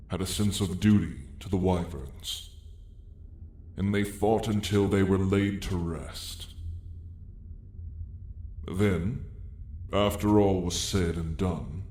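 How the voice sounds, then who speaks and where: An ancient, lonely dragon played by a man in an ASMR fantasy roleplay, with a very low voice that echoes around him. He speaks slowly and solemnly in old-fashioned phrases, gentle and wistful. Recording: echoey space with faint hiss.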